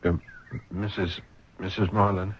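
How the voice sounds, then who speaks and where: A man's voice: level tone, a little slow, breathy edge. A man acting a furtive, uneasy runaway in an old radio drama, speaking hesitantly and evasively. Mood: nervous, evasive. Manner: hesitant, mumbling, low-key. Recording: dull 1970s radio drama recording.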